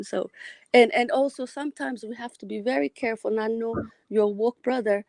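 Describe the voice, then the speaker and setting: Ethiopian-accented woman caller, rambling and philosophical, gravelly and mellow, dry sound. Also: high-pitched, no echo, low-quality recording. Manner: rambling, philosophical, repeats words. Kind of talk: casual call-in chat.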